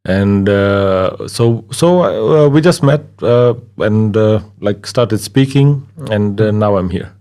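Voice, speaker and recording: Guest: relaxed man from Kenya, 30s, lowish voice, easygoing pauses. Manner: relaxed, hesitant with many fillers, laughs easily. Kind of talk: casual interview conversation.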